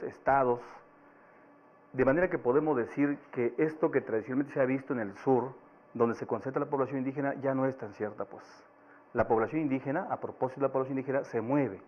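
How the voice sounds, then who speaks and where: A man from Chiapas whose first language is Tzeltal, speaking Spanish with a Mexican accent as a panelist, giving an academic presentation at a measured, explanatory pace. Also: medium pitch, dull audio. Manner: measured, explanatory, with occasional fillers.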